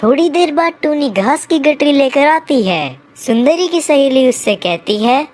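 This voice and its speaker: Female storyteller, high voice, narrating a scripted moral tale for kids. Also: animated, unhurried, darkish tone.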